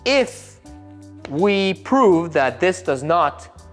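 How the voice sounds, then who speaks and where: A man's voice: high-pitched, sing-song, very slow, faint music behind. A man hosting a technical car build video, explaining parts in a casual way with jokey asides, in a workshop. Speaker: car tuning shop host.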